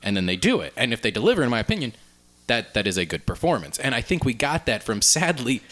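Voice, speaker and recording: Lively man with a very varied pitch. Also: fast. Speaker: podcast host. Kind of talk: casual film and video game discussion.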